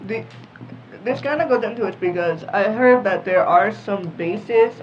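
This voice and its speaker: A man's voice: high-pitched, a little slow, a little hiss or hum. A thirteen-year-old boy casually explaining things in a group chat. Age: 13, young teenager. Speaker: talk panelist. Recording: slightly dull audio with faint music.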